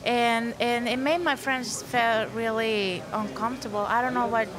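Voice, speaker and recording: A woman's voice: on the high side, steady tone, normal speed, a crowd in the background, poor audio. A woman from Mexico on a panel, speaking hesitantly and searching for words. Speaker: panel guest.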